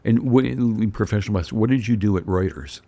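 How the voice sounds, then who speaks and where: Low, gravelly-voiced male host. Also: brisk, warm tone, no echo. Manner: curious, brief affirmations. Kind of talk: casual interview.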